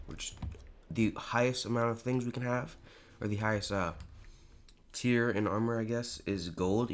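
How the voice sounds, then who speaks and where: A teenage boy with a raspy, hoarse voice, rambling casually over a Minecraft game with lots of fillers. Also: on the low side, a little hiss or hum. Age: teens. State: hoarse, clearing throat. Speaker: gaming YouTuber. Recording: home recording with clear keyboard clicks.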